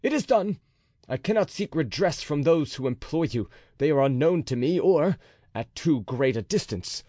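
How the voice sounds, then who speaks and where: Man reading a fiery musketeer captain, menacing, raised voice, swooping pitch, dry sound. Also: very animated, a little fast, few pauses, a little mellow, dry recording. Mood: furious. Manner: raised, intense, menacing delivery.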